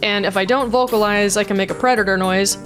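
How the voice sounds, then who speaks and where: Female vlogger, medium pitch, loose chatty behind-the-scenes explainer, faint music bed. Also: fairly even intonation, fast talker. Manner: chatty, informal, self-deprecating. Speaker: video creator and amateur voice actor. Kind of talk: casual behind-the-scenes chat with vocal demonstrations. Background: background music.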